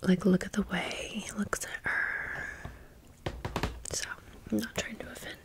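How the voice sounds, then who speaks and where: A woman hosting ASMR in a breathy, unvoiced whisper, chatting informally and gently as she shows off items. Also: medium pitch, little pitch movement, a little slow. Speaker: ASMR video host. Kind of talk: whispered ASMR product show-and-tell.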